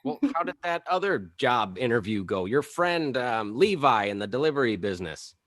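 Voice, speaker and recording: A man's voice: mid-range voice, sing-song, moderate pace, a bit bright. A man playing a wry, exasperated middle-aged dad, dry and sarcastic, in a comedy table read. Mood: encouraging, wry.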